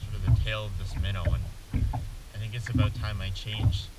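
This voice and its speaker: Young man, distant mic in loud outdoor noise. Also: lowish voice, fairly even intonation, brisk. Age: young adult. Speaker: angler filming his fishing trip. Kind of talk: casual vlog chat to camera. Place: outdoors on a small motorboat on a lake. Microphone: action camera mounted on the boat, some distance away.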